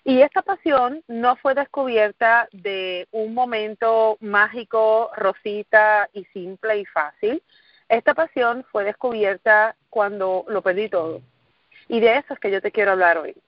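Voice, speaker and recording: A middle-aged Puerto Rican woman speaks in a direct, earnest motivational manner and leans on repeated phrases to drive each point home. Her voice sits in an ordinary middle pitch, and the recording is dry and dull, like lo-fi call audio. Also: unhurried, dry recording. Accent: Puerto Rican Spanish. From Puerto Rico. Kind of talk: motivational sales talk. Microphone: recorded call or conference line.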